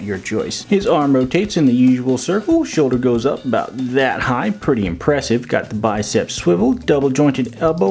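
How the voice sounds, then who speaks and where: A man's voice: mid-range voice, creaky, a little mellow. A man giving a casual, jokey action figure review as host, with a playful manner and silly made-up words. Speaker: toy reviewer and video host.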